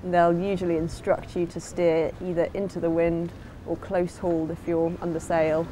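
A woman's voice: fairly low pitch, mellow, a little hiss or hum. A woman giving an instructional voice-over as a sailing instructor, in a plain, explanatory way.

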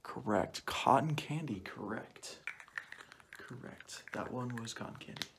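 A young man speaking softly through a cloth mask in an ASMR roleplay as an examiner, often dropping into whispers and repeating short phrases close to the mic. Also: medium pitch, measured pace. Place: small plain indoor room. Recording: clearly noisy home recording with clicks.

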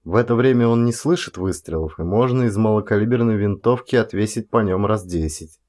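Man narrating an expressive dramatic audiobook. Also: on the low side, a little fast.

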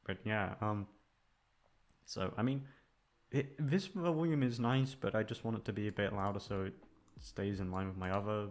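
A man's voice: low-pitched, creaky. A man chatting casually to his listeners in a hesitant, meandering way, close on the microphone. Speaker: audio roleplay voice actor. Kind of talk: casual rambling outro to listeners. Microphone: close studio microphone. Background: music.

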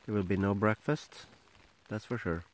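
A middle-aged man with a Norwegian accent, talking to a handheld camera in a casual camping vlog, halting with many fillers. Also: fairly low pitch, low-quality recording. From Norway. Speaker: outdoor vlogger. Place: inside a small tent, then outdoors by a lake. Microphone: handheld camera at close range.

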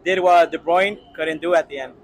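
A man's voice: on the high side, fairly even intonation, moderate pace, a little hiss or hum. A man from Queens, New York, giving short, hesitant answers in a street interview on a city sidewalk.

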